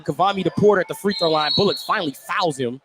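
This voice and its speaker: A man's voice: fairly high pitch, fast talker. A man calling live basketball play-by-play for radio, describing the action in running detail. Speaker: play-by-play sports commentator. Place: courtside broadcast spot in a school gym. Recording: live gym broadcast with background noise.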